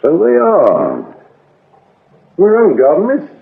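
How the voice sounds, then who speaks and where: British detective inspector in a radio play, sarky and needling, bit rough voiced, thin old radio sound. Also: male voice, high-pitched, wildly swooping pitch, slow, phone-quality sound, exaggerated voice. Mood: mock-polite, needling. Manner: sarcastic, mock-polite, probing. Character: sardonic male police inspector, needling and relentless. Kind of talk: British radio crime drama acting. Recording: thin, band-limited old radio recording.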